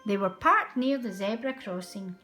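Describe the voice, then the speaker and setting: A woman narrating a children's storybook aloud in an expressive, deliberate way. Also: mid-range voice, soft background music.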